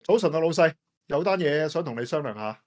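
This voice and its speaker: Casting: male actor as earnest Hong Kong Cantonese office worker, slightly high voice, radio drama, persuasive pleading. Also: lively intonation, a little fast, natural voice. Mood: earnest, persuading. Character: earnest office employee, Stephen, pleading for more staff. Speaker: employee pressing his boss.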